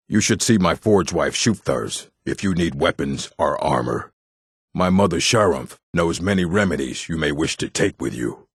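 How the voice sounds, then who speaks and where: Very deep, gravelly orc man. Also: dry recording, studio quality, exaggerated voice. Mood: proud, earnest. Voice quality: gravelly, husky. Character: gruff adult male orc of a fantasy stronghold. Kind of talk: fantasy video game character dialogue.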